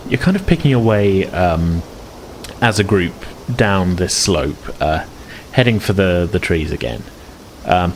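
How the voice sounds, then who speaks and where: Low-pitched male game master, improvised narration, hesitant phrasing, clear background noise. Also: poor audio. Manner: hesitant, groping for words.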